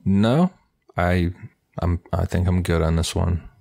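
A low-key young co-host reads listener reviews and keeps his answers short. His voice is very deep, and the sound is close and dry. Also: slow, creaky, warm tone, dry recording. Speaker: podcast co-host. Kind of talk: casual podcast banter.

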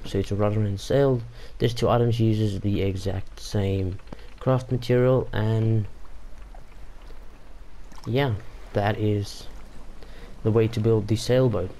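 A man's voice: lowish voice, fairly even intonation, slow, warm tone, slight background noise. A young man whose first language is Afrikaans, casually commenting over gameplay with many fillers and restarts. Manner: rambling, frequent fillers and restarts. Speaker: gaming YouTuber.

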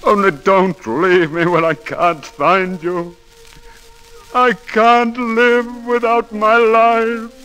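A man's voice: sits high, level tone, sharp tone, clear, resonant tone, heavily acted. An actor playing a tormented, passionate romantic man in theatrical, anguished 1940s radio drama style. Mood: raging grief. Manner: anguished, theatrical, slow declamation. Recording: old radio recording with music.

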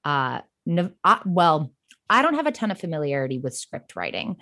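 A woman's voice: deep voice, sing-song, moderate pace, pushed and bright. A woman talking casually as a podcast guest, chatty and given to acting out little quotes as she explains. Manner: chatty, illustrates points with mimicked quotes. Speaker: podcast guest, co-creator and romance writer. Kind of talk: casual interview conversation.

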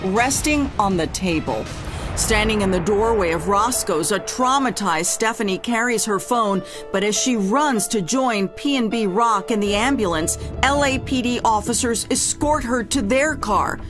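A woman narrating a true-crime news segment in a measured, grave newsreader delivery. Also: mid-range voice, animated, music in the background.

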